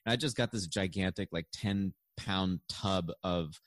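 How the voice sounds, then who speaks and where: A man with a fairly low voice hosts a loose podcast chat. He rambles and jokes, restarting his sentences and laughing along. The sound is dry and close. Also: a little slow, no echo. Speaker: podcast host.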